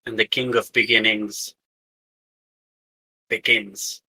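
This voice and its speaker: A man acts out an imperious spirit newly arrived in a body, a living weapon. The voice is husky with a sharp, forceful edge, and it speaks in grave, cryptic pronouncements for a tabletop game. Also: on the low side, level tone, slow pace, pitched below the performer's own voice, full character voice, low-quality recording.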